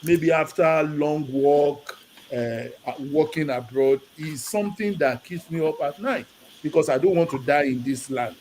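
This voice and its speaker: A high-pitched man from Nigeria calls in to a talk show. He tells his story in an animated way and drops Yoruba phrases into it. A faint hiss sits behind his voice. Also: moderate pace, rough recording. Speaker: caller. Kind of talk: casual call-in chat. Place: lives in the UK.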